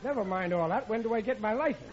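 A man's voice: high voice, fast talker, close to an everyday voice. An actor playing an overconfident, bumbling middle-aged American father in an old radio sitcom, stammering and chuckling nervously. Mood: indignant. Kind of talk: 1950s radio sitcom acting. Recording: muffled, very noisy old radio recording.

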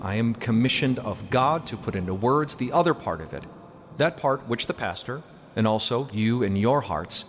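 A man voicing a solemn, fervent messenger of God in a storybook reading, preaching in measured archaic phrases. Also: mid-range voice, lightly acted. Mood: grave. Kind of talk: dramatic storybook narration.

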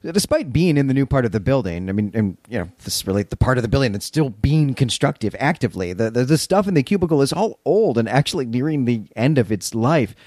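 A man's voice: mid-range voice, big pitch swings, fast, few pauses, a little mellow, no echo. A man co-hosts a book podcast with a mid-range voice. He retells and discusses the story in an articulate, explanatory way. The sound is very dry, with hardly any room in it. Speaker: podcast co-host. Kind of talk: literary recap discussion, semi-prepared retelling.

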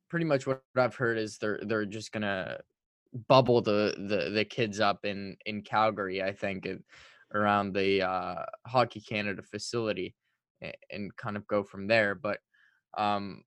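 A man's voice: on the low side, a little slow, a bit bright, dry recording. A French-speaking man co-hosting a casual sports podcast, talking in an emphatic, hyperbolic way. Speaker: hockey podcast co-host.

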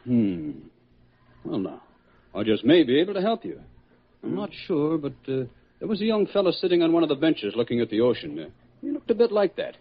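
A man's voice: a little high, very animated, brisk, husky, full character voice. A man acting a friendly, obliging night patrolman in a classic radio drama, with a folksy, helpful manner. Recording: muffled, noisy old radio recording.

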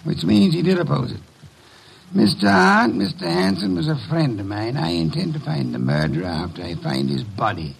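An actor playing a blunt, imperious detective in an old radio drama, pompous and formal, with a dry wit and a gravelly, husky voice. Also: male voice, medium pitch, big pitch swings, normal speed, full character voice, rough recording. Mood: stern. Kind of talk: 1940s-1950s American radio drama acting. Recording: vintage radio recording.

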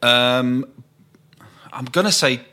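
A man, a podcast guest recalling old memories in a halting, thoughtful way. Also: medium pitch, pushed and bright. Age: 60s. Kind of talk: casual reminiscing chat.

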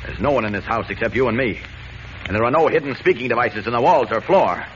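An actor playing a steady, rational male superhero who takes charge, in 1940s radio serial style. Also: mid-range voice, fast talker, lightly acted. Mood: puzzled, reasoning. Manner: firm, reasoning, commanding. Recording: muffled, noisy old radio recording.

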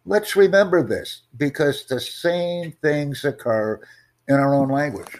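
A man hosting an exam-prep podcast, teaching in a slow, formal, deliberate way and asking rhetorical questions. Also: a little high, a little mellow. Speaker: IELTS tutor and podcast host.

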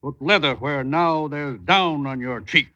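An actor playing a stern, domineering ship's captain in an old radio drama, gruff and commanding. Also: male voice, fairly high pitch, a little slow, sharp tone. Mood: stern, menacing. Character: stern, domineering ship's captain hardening his cabin boy. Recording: muffled vintage radio recording.

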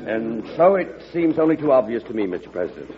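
An actor playing an indignant, hawkish cabinet secretary in old-time radio drama, formal and declamatory. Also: male voice, mid-range voice, big pitch swings, fast talker, music in the background, close to an everyday voice. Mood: urgent, insistent. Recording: dull vintage radio recording.

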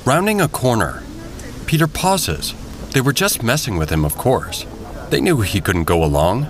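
A man narrating a scripted audio drama as a measured, descriptive storyteller. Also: medium pitch, sing-song. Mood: storytelling.

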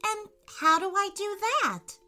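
Innocent, anxious young storybook girl, played by a woman: high, bright voice, earnest and pleading. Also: lively intonation, slow pace, faint music behind, full character voice. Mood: puzzled. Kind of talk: children's storybook character voice.

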